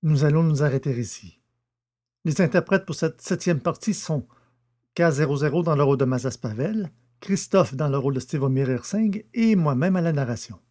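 Male audiobook narrator, deliberate and measured, warm dark tone. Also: fairly high pitch, lively intonation. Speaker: narrator and producer.

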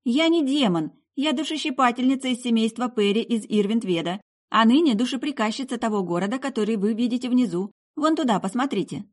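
A woman's put-on young sorceress, pitched well up, chatty, breezy, indignant, audiobook fantasy heroine. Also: brisk, close to an everyday voice. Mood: puzzled.

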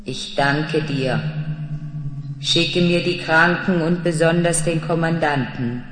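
A vast planetary mind for a vintage radio play. The voice is slightly high and very slow, solemn and commanding, floating in cavernous reverb. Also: male voice, fairly bright tone, slight background noise. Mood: calm, solemn. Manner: measured, solemn. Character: calm, solemn planetary collective intelligence, telepathic and commanding. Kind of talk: 1970s German radio play acting.

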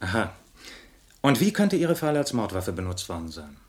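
An actor playing an ice-cold, ambitious detective in a radio crime drama, questioning formally and insistently. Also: male voice, on the low side, big pitch swings, moderate pace. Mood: probing, cold.

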